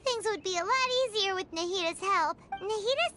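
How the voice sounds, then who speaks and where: Super high squeaky game fairy kid, excitable, bouncy pitch, music behind. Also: female voice, brisk. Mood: pondering. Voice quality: squeaky, childlike. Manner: excitable, refers to self in third person. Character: Paimon, tiny excitable childlike fairy companion. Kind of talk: video game cutscene acting.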